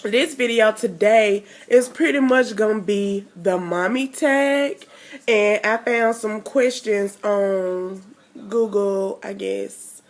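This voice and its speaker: A woman's voice: mid-range voice, slow. A woman, chatting casually to camera in a rambling way, nasal and stuffed up from a cold. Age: 20s. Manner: rambling, chatty, lots of fillers. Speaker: vlogger.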